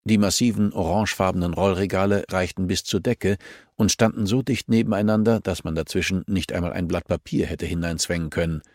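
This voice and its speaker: A man's voice: deep voice, fairly even intonation, a little fast, darkish tone, no echo, studio quality. A man reading a novel aloud as an audiobook narrator, in scripted narration.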